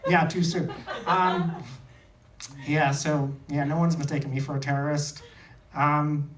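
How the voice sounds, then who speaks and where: A man's voice: a little high, little pitch movement, measured pace. Echoey, distant male stand-up comic. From India. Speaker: stand-up comedian. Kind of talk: live stand-up comedy routine. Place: small comedy theater, on stage before an audience. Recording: echoey club, distant mic, loud noise.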